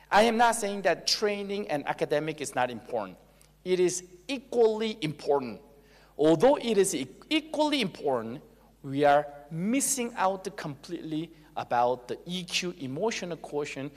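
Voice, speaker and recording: A Korean-accented man lectures a crowd in a lively, swinging voice that sits a little high for a man. He leans on fillers, then pushes up into emphatic calls to the audience. A long hall reverb trails after every phrase. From South Korea. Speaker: pastor giving a lecture. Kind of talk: motivational lecture to a live audience.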